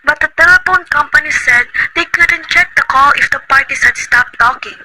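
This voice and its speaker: A woman acting a nervous, bedridden, wealthy woman who talks in a shrill, agitated, imperious way in an amateur radio play. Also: normal speed, close to an everyday voice. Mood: anxious. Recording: loud noisy recording.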